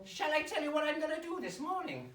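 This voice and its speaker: A storyteller putting on an excitable little songbird, echoey. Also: high-pitched, fast, crowd noise, voice pushed up. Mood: cheerful, sunny. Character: excitable little songbird named Redbird, cheery and scolding. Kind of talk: live children's storytelling performance. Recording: roomy echo, distant mic, music.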